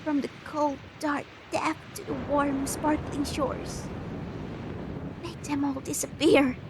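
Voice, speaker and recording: A woman's voice: high voice, little pitch movement, slow, noisy background. A woman voicing a vain, self-loathing female sea monster with a murderous temper in a melodramatic visual novel dub. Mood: bitter despair. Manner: vicious, theatrical shrieking and gloating.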